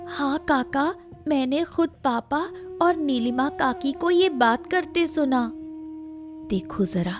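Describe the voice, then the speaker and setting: A woman voices a sympathetic village girl in a Hindi cartoon. Her voice is high and full of concern, over soft background music. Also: lively intonation, slow pace. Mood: worried. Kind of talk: Hindi cartoon story dialogue.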